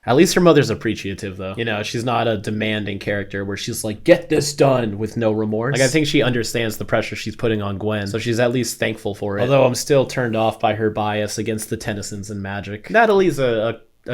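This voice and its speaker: A man with a somewhat low voice hosts a cartoon breakdown. He talks informally, with slang and swearing, like relaxed commentary. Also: fairly quick, few pauses. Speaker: host of a cartoon review channel. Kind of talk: casual episode commentary.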